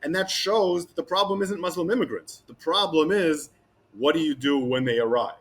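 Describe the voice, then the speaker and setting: A man giving a guest interview, talking in a halting way with many restarts and repeated words. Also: fairly high pitch, slightly rough. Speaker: guest commentator. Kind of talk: interview conversation.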